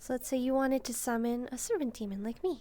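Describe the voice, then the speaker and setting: A woman voicing a gentle, shy demon maid in a soft-spoken roleplay, speaking hesitantly as she muses aloud. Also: on the high side, fast talker, faint music behind, natural voice. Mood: musing, gentle. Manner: hesitant, timid, musing aloud. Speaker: roleplay video creator. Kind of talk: soft-spoken first-person roleplay, relaxing.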